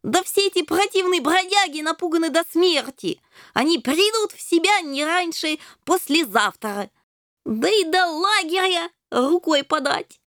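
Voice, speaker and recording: A woman's voice: extremely high pitch, animated, a little slow, fairly bright tone, no room sound, studio quality, voice pushed up, full character voice. A woman voicing a cheerful, cocky young male ginger kitten in a breezy manner for a children's story. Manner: light-hearted, boastful, breezy.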